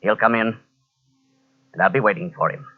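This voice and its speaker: An actor playing a wily, patient police inspector, speaking with sly, deliberate casualness in old-time radio drama style. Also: male voice, on the low side, natural voice. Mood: grimly patient. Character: wily, patient male police inspector, cat-and-mouse interrogator. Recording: dull lo-fi old radio cassette transfer.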